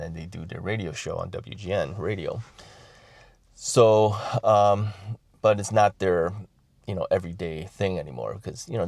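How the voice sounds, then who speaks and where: A man's voice: deep voice, gravelly, a little mellow, no echo. A middle-aged man from the Chicago area chatting casually to camera, rambling with many fillers, restarts and chuckles. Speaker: vlogger.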